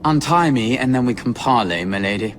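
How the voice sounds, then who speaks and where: An actor playing a cheeky, wheedling Victorian street rogue with a mock-polite, slangy manner in a video game. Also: male voice, medium pitch, expressive, a little fast, natural voice. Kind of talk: video game cutscene acting. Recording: faint ambient noise behind.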